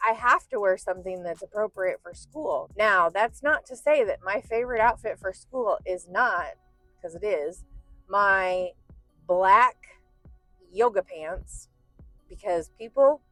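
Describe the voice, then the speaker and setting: Chatty, slightly croaky woman in her forties. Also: mid-range voice, a bit bright, no echo, rough recording. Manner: chatty, swears casually, says y'all and right. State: a little croaky and stuffy. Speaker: artist and channel host. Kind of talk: casual storytime chat.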